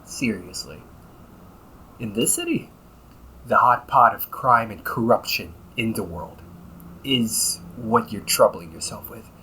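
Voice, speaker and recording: A man's voice: on the low side, sing-song, takes it slowly, bright, forceful tone, slight background noise, heavily acted, low-quality recording. A man playing a cynical, teasing vampire cop in an audio roleplay, talking in a slangy, sarcastic and flippant way. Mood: exasperated, sarcastic. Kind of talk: audio roleplay, casual conversational acting.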